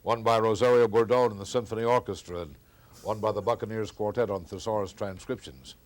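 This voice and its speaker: Elderly American man, halting recollection. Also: fairly low pitch, steady tone. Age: older. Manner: halting, searching recollection with throat-clearing. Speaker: veteran radio announcer. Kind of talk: reminiscing in a group conversation.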